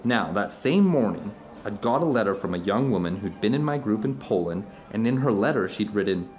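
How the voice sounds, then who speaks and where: A man's voice: fairly low pitch, animated, brisk, a little mellow, close to an everyday voice. An actor playing an introspective man who tells uncanny personal anecdotes to an old friend in an audio drama, rambling, earnest and emphatic. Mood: earnest wonder. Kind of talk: audio drama dialogue, conversational monologue.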